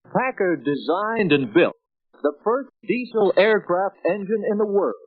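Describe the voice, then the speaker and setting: An American man narrates in 1950s promotional film style with punchy emphasis. His high voice swings widely in pitch and has a husky edge. The vintage soundtrack sounds dull. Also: lively intonation, unhurried, no echo. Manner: punchy, emphatic announcer delivery. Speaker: second narrator of a sales training film.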